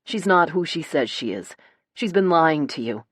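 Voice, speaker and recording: A woman's voice: sits low, lively intonation, moderate pace, husky, no echo, clean studio sound. Woman voicing cold, bitter, clipped young man. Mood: strained, grave. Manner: cold, clipped, tight-jawed. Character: Parker, bitter angry protective brother, young man. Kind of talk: audiobook character dialogue.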